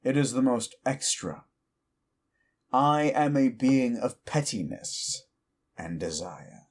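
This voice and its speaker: A man voicing an ancient, petty male demon who mocks a mortal in a slow, deep drawl, in audio roleplay. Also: sing-song, a little mellow, full character voice. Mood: taunting.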